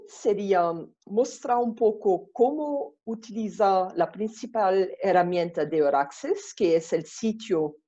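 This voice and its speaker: A woman with a Brazilian Portuguese accent gives an online presentation in a slow, careful way, pausing to find her words. Her voice is mellow and unhurried. The video-call sound is dull and lo-fi. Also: fairly low pitch. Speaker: webinar presenter.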